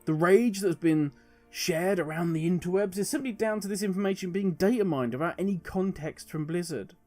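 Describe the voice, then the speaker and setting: A man's voice: sits high, fairly quick, darkish tone, soft background music. A man hosting a gaming video, speaking earnestly and emphatically as he gives his opinion straight to viewers and poses rhetorical questions.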